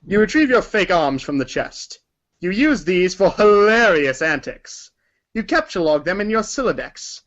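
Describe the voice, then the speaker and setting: A man's voice: high voice, very animated, normal speed, fairly bright tone. A man reading scripted narration for a read-along story performance, in a dull recording.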